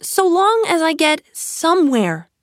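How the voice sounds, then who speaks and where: A woman's voice: very high-pitched, very animated, measured pace, no room sound, clean studio sound, voice pushed up, heavily acted. A woman voicing a curious, polite storybook girl with a prim, earnest manner who is easily put out. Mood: polite curiosity. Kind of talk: storybook character reading.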